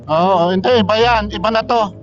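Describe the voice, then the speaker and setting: A man's voice: high-pitched, moderate pace. A man giving short, easygoing directions to a friend over a helmet intercom while riding. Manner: short laid-back replies, gives directions. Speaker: motorcycle rider. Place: on a motorcycle on the road. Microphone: helmet intercom headset. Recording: muffled lo-fi intercom audio with faint music.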